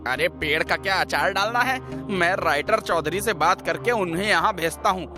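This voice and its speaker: Man playing a wry publisher, fairly high voice. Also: normal speed, music in the background, lightly acted. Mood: businesslike banter. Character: exasperated, bantering male book publisher. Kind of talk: conversational animated story acting.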